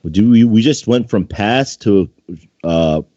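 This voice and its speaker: Man, very low voice, amateur story reading, casual asides, stumbling, dull roomy recording. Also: lively intonation, slow pace, dark tone. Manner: stumbles over text and comments on it. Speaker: reader of fan fiction.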